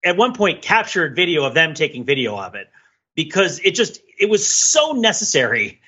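A man with a forceful, bright and slightly high-pitched voice co-hosts a casual podcast. He talks in a wry, wordy, analytical way. The audio is a little dull and compressed. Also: big pitch swings, normal speed, breathy edge. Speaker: podcast co-host. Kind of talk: casual conversational podcast talk.

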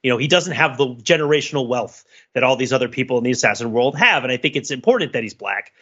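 A man co-hosting a casual, analytical film discussion, talking in an animated, enthusiastic way with many rambling asides. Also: mid-range voice, quick pace, lo-fi sound. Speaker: podcast co-host.

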